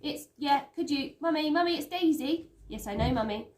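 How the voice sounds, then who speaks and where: A bossy, excitable upper-class schoolgirl, as a woman reader puts her on, pitched well above the reader's own voice and swooping about. She rushes through her words in breathless, imperious bursts. The voice rings a little in an echoey room. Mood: pleading.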